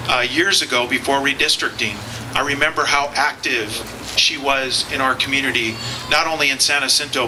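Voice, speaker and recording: A middle-aged man, a law enforcement officer whose first language was Spanish, giving a halting rally speech full of fillers and repeated words. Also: mid-range voice. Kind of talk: public speech at a political rally. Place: outdoor campaign rally. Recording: outdoor rally with clear background noise.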